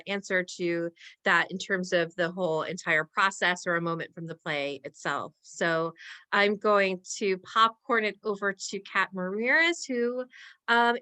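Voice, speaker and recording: Bright-voiced woman moderating. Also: medium pitch. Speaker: talkback moderator. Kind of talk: casual hosting.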